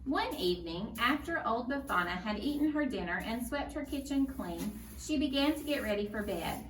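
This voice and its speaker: A woman's voice: a little high, animated, moderate pace, fairly bright tone. A woman reading a children's story aloud as a storyteller, in scripted narration. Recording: roomy, distant mic, faint noise.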